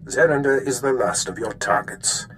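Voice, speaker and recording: An actor playing an imperious male Sith master in a video game, commanding, measured and menacing. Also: medium pitch, lively intonation, a bit bright, a little hiss or hum, lightly acted, low-quality recording. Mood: stern, commanding. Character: stern, imperious male Sith master briefing his apprentice. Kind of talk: scripted video game acting.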